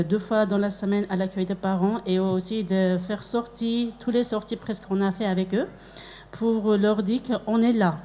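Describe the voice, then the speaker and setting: A woman in her forties who is not a native French speaker, speaking in a halting, earnest way as a parent at a school event. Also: mid-range voice, warm tone. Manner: halting, searching for words, earnest.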